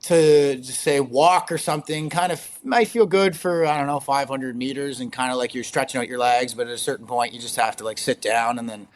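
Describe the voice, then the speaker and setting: A young man with a slightly raspy voice, talking casually as an interview guest, rambling with lots of fillers and frequent laughs. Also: medium pitch, fairly quick, hardly any pauses. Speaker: guest, ultrarunner.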